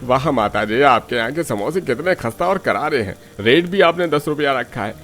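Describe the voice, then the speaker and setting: A man's voice: mid-range voice, lively intonation, normal speed, fairly bright tone, a little hiss or hum, natural voice. A man voicing an exclaiming, colloquial customer at a street food stall in a Hindi moral-story cartoon. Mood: pleased, curious. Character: haggling, complaining male street-food customer who warms up to good food. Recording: music behind the voice.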